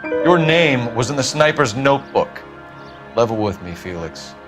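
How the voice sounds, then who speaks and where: An actor playing a terse, formal 1940s American police detective, questioning people in a dramatic video game style. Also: male voice, medium pitch, very animated, a little slow, over music. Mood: stern. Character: stern, clipped male police detective, 1940s Los Angeles.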